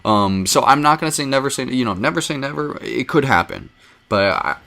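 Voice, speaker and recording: A man's voice: mid-range voice, lively intonation, fairly quick, creaky, bright, forceful tone. A young man rambling casually to his viewers about gaming, with lots of fillers and restarts, into a desk mic. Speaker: gaming video creator. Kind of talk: casual rambling vlog talk.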